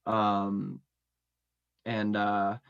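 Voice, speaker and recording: A man's voice: lowish voice, monotone, very slow, creaky, a bit bright, clean tone. A man chatting casually as an interview guest about his music, rambling and full of fillers. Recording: dull lo-fi audio.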